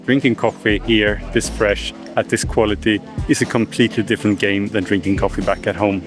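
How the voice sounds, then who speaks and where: A man's voice: fairly low pitch, level tone, brisk. A man narrating his filmmaking vlog with enthusiasm. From Sweden. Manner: enthusiastic, reflective. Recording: music playing underneath.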